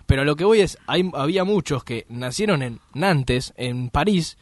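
A man's voice: on the high side, animated, moderate pace, no echo, clean studio sound. A man with an Argentine accent chatting casually and giving his opinions in very colloquial language. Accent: Argentine Spanish. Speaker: panelist. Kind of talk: casual chat.

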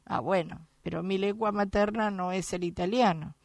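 A woman's voice: mid-range voice, fairly even intonation, moderate pace, a little mellow, dry recording, clean studio sound. A woman from Argentina with a Rioplatense accent, hosting a radio chat and telling anecdotes in a chatty way. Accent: Argentine Rioplatense Spanish. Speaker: radio host.

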